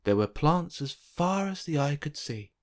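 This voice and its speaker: A man narrating a children's picture book as an expressive read-aloud. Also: fairly high pitch, measured pace.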